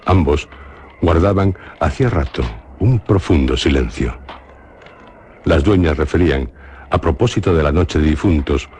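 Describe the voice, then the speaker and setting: A man with a Spain Spanish accent narrates a radio drama in a measured, grave way. His voice is extremely deep. A faint hiss runs quietly underneath.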